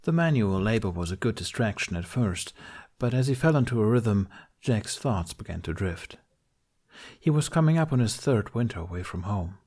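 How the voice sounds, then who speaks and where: A man's voice: lowish voice, big pitch swings, moderate pace, darkish tone. A man reading a fantasy story aloud as a scripted audiobook-style narration. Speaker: podfic narrator reading fan fiction.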